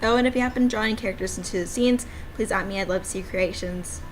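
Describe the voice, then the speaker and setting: A woman chatting casually and excitably as she plays through a visual novel she made. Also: mid-range voice, very fast, a little hiss or hum, low-quality recording. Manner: giggly, excitable, informal. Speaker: game developer streaming own visual novel. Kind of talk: casual playthrough chat, reading dialogue aloud.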